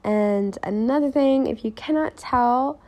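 A young woman hosting her own podcast, talking casually and reflectively, her voice a little raspier than normal. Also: a little high, measured pace, a little mellow. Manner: chatty and reflective, quoting her own thoughts aloud. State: voice more raspy than normal. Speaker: podcast host. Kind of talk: casual solo podcast talk.

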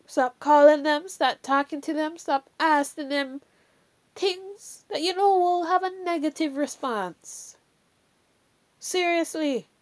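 Slow, earnest woman with a Jamaican lilt. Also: sits high. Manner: earnest, emphatic, repeats key phrases; chatty, adds "you know" and "all right". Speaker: faith encouragement speaker. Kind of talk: casual motivational faith talk.

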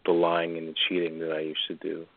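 A man's voice: low voice, monotone, creaky. Young man on a tinny phone line. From New York. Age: 20s. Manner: hesitant, halting, nervous. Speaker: caller. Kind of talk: call-in radio conversation.

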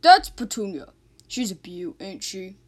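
A woman's voice: medium pitch, sing-song, measured pace. A woman playing a folksy, doting police officer in an amateur radio drama. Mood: doting, cooing.

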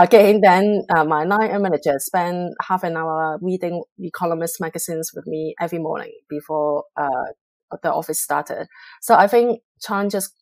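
A middle-aged woman from Hong Kong, a public relations professional, answering interview questions in a measured, reflective way with frequent pauses and fillers. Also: lowish voice, darkish tone, no room sound.

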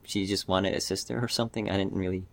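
A man giving his opinions in a casual film discussion, with halting, loose phrasing. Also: low voice, fairly even intonation, vocal fry. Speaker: podcast panelist.

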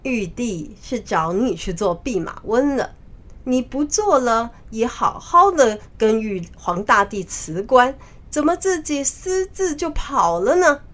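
A woman's voice: a little high, sing-song, takes it slowly, a bit bright, heavily acted. A woman playing a stern, haughty male heavenly general in a children's story, formal and accusatory. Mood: stern, accusing.

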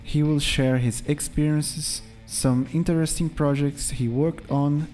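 A man's voice: fairly high pitch, steady tone, a little slow, a little mellow, faint music behind. A man hosting a podcast, asking questions in a friendly, slightly hesitant way. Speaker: podcast host. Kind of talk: casual interview.

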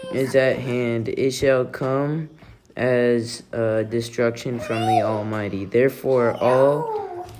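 Woman, extremely low pitch, slow halting scripture reading, noisy recording. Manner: halting, restarts phrases. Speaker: family member taking a turn reading. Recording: clearly noisy home recording.

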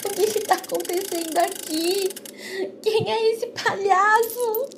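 A frightened young Brazilian captive girl played by a woman in an audio horror drama. Her voice is extremely high and whimpering, begging in fear over a noisy recording. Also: slow, exaggerated voice. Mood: tearful pleading. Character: terrified, pleading young female captive.

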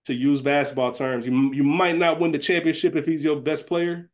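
A man's voice: on the high side, flat delivery, moderate pace. A man co-hosting a podcast in a casual chat style, emphatic and often repeating his phrases. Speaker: podcast co-host.

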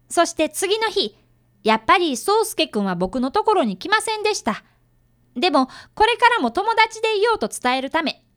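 Woman as a schoolboy apprentice detective, very high voice, earnest, polite, lively intonation, radio drama. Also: heavily acted. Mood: resolved. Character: earnest, polite fifth-grade boy, apprentice detective and narrator. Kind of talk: radio drama acting and narration.

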